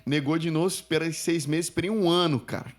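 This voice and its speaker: A man in his thirties, a native Spanish speaker, telling his story as a podcast guest in a casual, animated way. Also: on the high side, fast. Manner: chatty, animated storyteller, laughs while telling.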